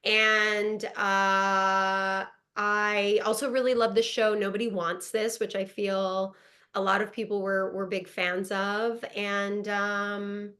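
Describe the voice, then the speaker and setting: A woman's voice: medium pitch, level tone, slow, bright, forceful tone. A woman from Montreal whose first language is French, chatting upbeat as an actress guest on a video call, laughing often. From Montreal, Canada. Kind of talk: casual interview chat. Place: home in Los Angeles.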